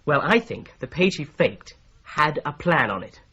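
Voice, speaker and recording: Husky, higher-voiced solicitor sleuth, patient explainer, lo-fi radio play. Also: male voice, big pitch swings, measured pace, bright, forceful tone, heavily acted. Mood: explaining. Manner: methodical, patiently explaining his theory. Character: Boone, shrewd young male solicitor-detective, patient explainer. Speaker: solicitor investigating a fraud. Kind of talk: BBC-style radio drama acting. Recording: dull lo-fi radio play recording.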